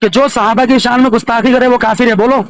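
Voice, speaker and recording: A man's voice: extremely high pitch, fairly even intonation, quick pace, no echo. A man in a heated religious debate over the phone, insistently repeating his demands and cutting in.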